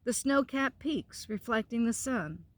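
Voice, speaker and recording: A woman reading the part of wise, reverent nature spirits from a storybook in a measured manner. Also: on the high side, lively intonation, a bit bright, no room sound.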